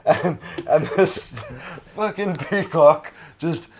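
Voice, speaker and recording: A man's voice: a little high, slow, a crowd in the background. A man co-hosting a podcast, telling stories in a wry, teasing way. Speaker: podcast co-host. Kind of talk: casual podcast banter.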